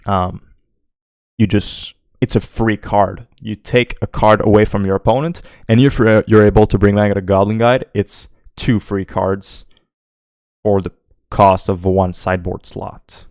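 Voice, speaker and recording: A man's voice: lowish voice, fairly even intonation, measured pace, a little mellow, no room sound, clean studio sound. A French Canadian man whose first language is French, streaming a card game and thinking aloud while answering chat questions. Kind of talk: live gameplay commentary.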